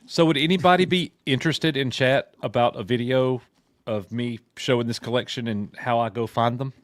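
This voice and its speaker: A man from Alabama, co-hosting a casual livestream chat, excitable and quick to laugh over a video call. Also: mid-range voice, dry recording. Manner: excited, laughing, restarts his phrases. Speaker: co-host.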